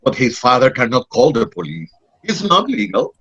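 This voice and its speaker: A man's voice: mid-range voice, big pitch swings, moderate pace, fairly bright tone, husky. An actor with a Puerto Rican accent playing an indignant, sarcastic Puerto Rican man in a play reading. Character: Puerto Rican man raised in New England, indignant, fussy. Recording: dull lo-fi audio.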